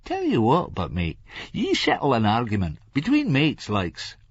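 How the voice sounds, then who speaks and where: A man's voice: medium pitch, wildly swooping pitch, unhurried, husky, no room sound, highs cut off, pitched above the performer's own voice, full character voice. A man voicing a mischievous, leering Scottish drinker in an audiobook, conspiratorial and wheedling. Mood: teasing, mischievous.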